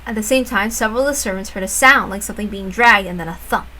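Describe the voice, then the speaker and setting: A woman's voice: medium pitch, quick pace, bright, forceful tone. A woman narrating a story aloud as a reader, expressively and with informal asides. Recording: clear background noise, like rain.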